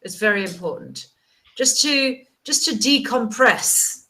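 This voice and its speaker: A woman's voice: on the high side, animated, measured pace, sharp tone, rough recording. A woman chatting as an online English tutor, encouraging and chatty, often repeating words. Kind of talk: casual video-call chat.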